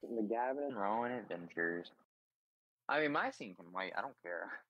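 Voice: medium pitch, expressive, moderate pace, muffled recording. A tabletop player chatting in a casual, joking way.

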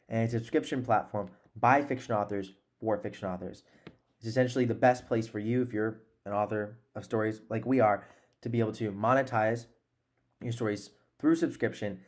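A man in his twenties hosting a podcast in a conversational, earnest and encouraging way, close on a desk microphone. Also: on the low side. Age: 20s. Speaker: podcast host. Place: small room at home, indoors.

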